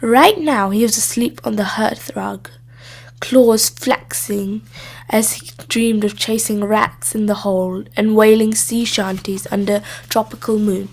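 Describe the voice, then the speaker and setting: A woman reading a children's story aloud as expressive scripted narration. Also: mid-range voice, a little slow. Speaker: storybook reader.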